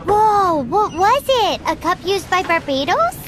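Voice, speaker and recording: A woman voicing a tiny, cheeky, childlike fairy companion in a video game, excitable and bratty. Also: extremely high pitch, unhurried, noisy background, full character voice. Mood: amazed, boastful. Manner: childlike, refers to self in third person.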